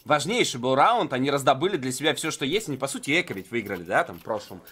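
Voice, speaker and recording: A man's voice: medium pitch, sing-song, fast, a bit bright, breathy edge. A man giving live, conversational esports commentary in colloquial gamer slang. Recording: game sound behind the voice.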